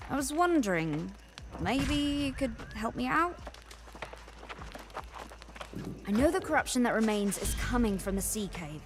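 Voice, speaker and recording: An actress playing a weary, friendly quest giver in a fantasy video game, speaking in a gentle, understated, earnest way. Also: female voice, a little high, background noise, natural voice. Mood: earnest, concerned. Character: weary, friendly woman guarding a fantasy settlement. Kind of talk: scripted game dialogue, conversational.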